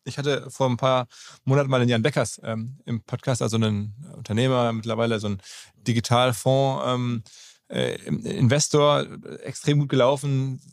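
A man's voice: medium pitch, little pitch movement, moderate pace, no echo, studio quality. A man hosting a podcast, asking casual interview questions in an informal way, thinking aloud as he goes. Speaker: podcast host.

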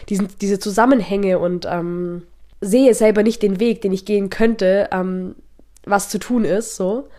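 A woman's voice: medium pitch, dark tone. A young woman hosting a podcast, talking reflectively while she has a cold that makes her voice a little nasal, with plenty of fillers. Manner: thoughtful, reflective, with frequent fillers. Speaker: podcast host. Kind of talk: casual spoken podcast monologue.

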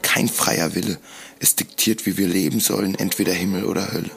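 Male narrator, very low with gravelly fry, for solemn, deliberate, dramatic first-person monologue. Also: fairly even intonation, fairly bright tone.

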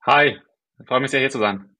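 A man with a Swiss German accent answers a host's questions, thinking aloud as he works through his answers. His voice is husky and slightly breathy. His pitch sits in the middle of the range. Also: moderate pace, bright, forceful tone. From Switzerland. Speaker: guest, startup co-founder and CEO. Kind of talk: casual interview answers.

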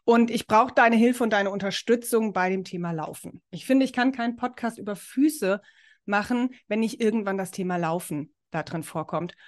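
A woman's voice: medium pitch, normal speed. A woman hosting a podcast, talking in an upbeat, encouraging, chatty way. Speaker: podcast host. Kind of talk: casual podcast interview.

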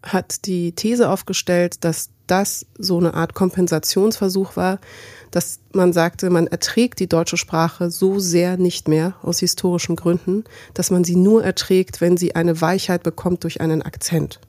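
A woman's voice: fairly low pitch, little pitch movement, a little slow, mellow, no echo, studio quality. A woman co-hosting a podcast, talking in a casual, conversational way. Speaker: podcast co-host.

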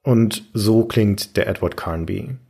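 Husky, gravelly man explaining games. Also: lowish voice, slow pace, dark tone. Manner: articulate, explanatory, long structured sentences. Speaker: podcast host. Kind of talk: analytical video game discussion.

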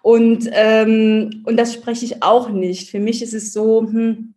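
A woman from the Palatinate, a guest in an interview, talking about her work and family with many pauses and fillers. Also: on the high side, flat delivery, dark tone. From Germany, Palatinate. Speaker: guest, baby-feeding advisor. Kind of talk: casual interview chat.

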